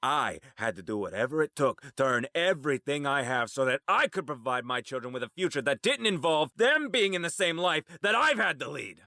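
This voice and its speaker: A man's voice: on the high side, very animated, brisk, few pauses, bright, forceful tone, dry recording, studio quality, full character voice. A man playing a proud, menacing crime boss in an audio drama, indignant and confrontational. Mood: indignant anger.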